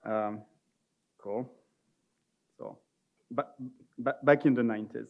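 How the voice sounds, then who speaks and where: A man giving an informal, somewhat halting live product demo as a conference presenter. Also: mid-range voice, fairly even intonation, vocal fry, narrow, tinny audio.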